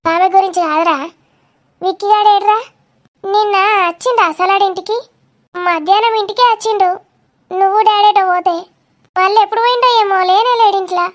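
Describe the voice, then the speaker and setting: A woman's voice: very high-pitched, steady tone, brisk, pauses often. A woman voicing a nosy, grumbling village aunt in a cartoon comedy, with a Telangana Telugu accent and a blunt, gossipy way of talking. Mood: concerned.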